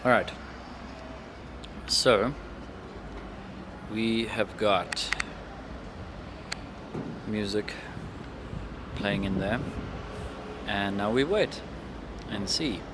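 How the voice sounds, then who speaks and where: A young adult man talking casually to himself through a demonstration, thinking aloud in short, halting fragments. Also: lowish voice, background noise. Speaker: amateur vlogger.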